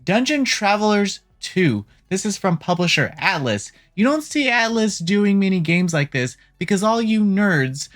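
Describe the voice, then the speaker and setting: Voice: mid-range voice, big pitch swings, measured pace, hardly any pauses. A host giving a casual, opinionated video game news rundown with informal, joking asides. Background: background music.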